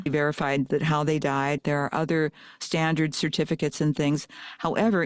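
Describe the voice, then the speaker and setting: A man with a fairly high voice hosts a podcast interview over a video call. He talks eagerly and in quick bursts, and the sound is dry and close. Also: no room sound. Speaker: podcast host. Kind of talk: casual interview chat.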